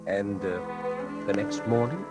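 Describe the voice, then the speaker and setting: An actor playing a measured prison warden in 1940s radio drama, questioning soberly with slight hesitation. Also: male voice, fairly low pitch, animated, over music. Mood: sober, probing. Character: measured, probing prison warden hearing a confession.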